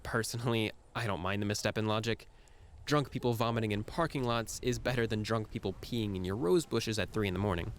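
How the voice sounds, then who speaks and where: A man's voice: lowish voice, fairly quick, a little hiss or hum. A man performing a scripted first-person monologue in a reflective, musing manner with a wry edge.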